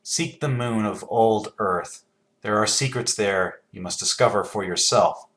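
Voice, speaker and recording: An actor playing a solemn, cryptic man in a video game, speaking in a measured, portentous way. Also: lowish voice, fairly bright tone. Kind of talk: video game character dialogue.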